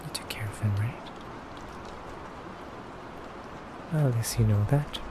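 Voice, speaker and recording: A man playing a stern but courteous femboy vampire interrogator in a soft, deliberate ASMR roleplay, often near a whisper. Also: medium pitch, background noise, natural voice. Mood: probing, solemn.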